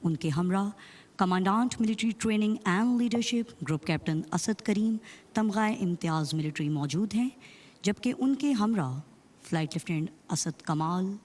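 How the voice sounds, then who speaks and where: A woman with a Pakistani accent giving formal, measured, scripted commentary on a ceremony. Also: mid-range voice, a little mellow. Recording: music behind the voice. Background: music.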